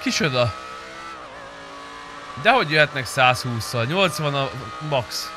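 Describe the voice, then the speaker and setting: A man's voice: mid-range voice, expressive. A young adult man chatting casually and swearing freely as he streams a roleplay game. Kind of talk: casual roleplay gaming chat. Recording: clear car engine noise behind.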